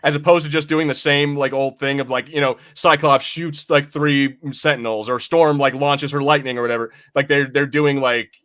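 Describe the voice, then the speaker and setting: A man's voice: medium pitch, fairly even intonation, moderate pace, fairly bright tone. A man co-hosting a podcast, explaining things in a rambling, informal, sweary way during casual chat. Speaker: podcast co-host.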